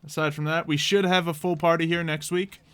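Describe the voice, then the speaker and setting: A man's voice: a little high, little pitch movement, a little fast, fairly bright tone, clear, resonant tone, faint music behind. A man hosting a tabletop game stream as game master, chatting casually and jokily. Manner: jokey, laughs often.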